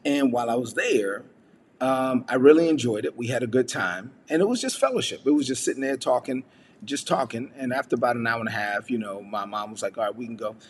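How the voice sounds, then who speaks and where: A man's voice: mid-range voice, animated, fairly quick. Man, preacher, casual devotional talk, loose and chatty, long reverb, faint hiss behind. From United States. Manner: conversational, with frequent fillers and rhetorical "right?".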